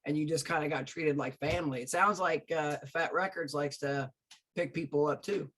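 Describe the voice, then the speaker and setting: A man co-hosting a casual interview, asking questions with quick restarts. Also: a little high, fairly bright tone. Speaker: podcast co-host.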